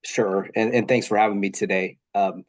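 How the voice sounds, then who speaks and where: A slightly nasal, somewhat under-the-weather man speaks in a fairly low voice on a video call. He answers a panel question in a measured, hedging way, full of fillers and restarts. The sound is dry and close. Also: steady tone, no echo. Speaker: state technology official on a panel.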